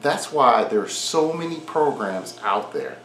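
A man's voice: mid-range voice, sing-song, measured pace, a bit bright, echoey room, rough recording. A man in his forties giving earnest training advice straight to the camera from his home garage gym, repeating his key points in an encouraging way. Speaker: fitness vlogger. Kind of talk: direct-to-camera advice talk.

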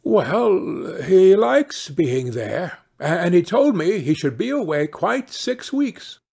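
A scheming storybook mother , put on by a man, cold at heart and evasive. The voice is lifted in pitch and slightly husky, with placating turns. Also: sing-song, slow pace, a little mellow, full character voice.

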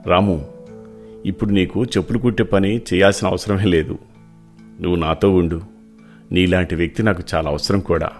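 Man playing a low-voiced, kindly rich gentleman. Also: steady tone, brisk, pauses often, faint music behind. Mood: reassuring. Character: wealthy, grateful, kindly gentleman benefactor. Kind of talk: animated moral story dialogue.

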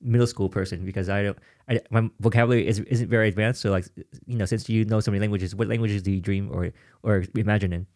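Fast-talking male podcast host. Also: fairly low pitch, fairly even intonation, darkish tone. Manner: restarts and rephrases questions. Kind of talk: conversational interview.

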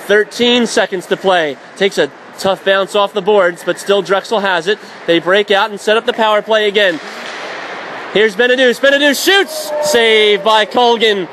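A man with a high voice calls live hockey play-by-play for radio, tracking every pass and shot and lifting into shouts when the puck nears the net. A faint hiss of noise sits under his voice. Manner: rapid, rising to shouts on shots and saves. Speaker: play-by-play announcer.

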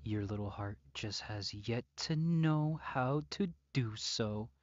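Man acting a slow, menacing obsessive captor lover. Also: on the low side, no echo, lo-fi sound. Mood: cold devotion. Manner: slow, drawn-out, mock-tender, often dropping to whispers. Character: obsessive male yandere psychopath captor, possessive and menacing. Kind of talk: intimate roleplay audio drama monologue.

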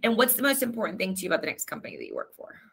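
A young woman recruiter in an office running a job interview over a video call, upbeat and brisk. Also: mid-range voice, wildly swooping pitch, vocal fry, a bit bright, slightly rough. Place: office indoors.

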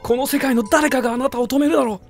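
An earnest schoolboy acted by a man in an amateur audio drama. He is formal and indignant, pitched very high, with faint music underneath. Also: steady tone, racing, creaky. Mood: shocked, indignant. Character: earnest, indignant schoolboy club junior challenging his leader.

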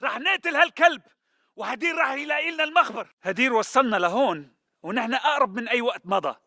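Very high, sharp Syrian militant. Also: male voice, animated, fast talker, full character voice. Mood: tense. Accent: Syrian Arabic. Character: agitated male militant foot soldier. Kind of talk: video game battle dialogue.

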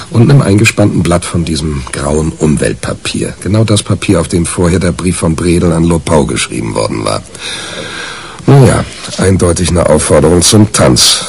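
A man's voice: very deep voice, gravelly, heavily acted. An actor playing a wry, exasperated man in a 1980s radio crime drama, telling his tale dryly and hesitantly.

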